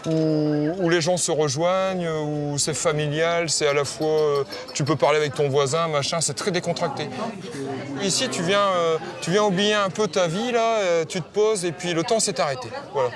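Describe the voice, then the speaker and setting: A chatty tavern keeper talks loosely, trailing off into fillers between his thoughts. His voice sits a little high for a man. People chatter around him on an outdoor terrace. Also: normal speed. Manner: chatty, rambling, many fillers. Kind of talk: casual chat.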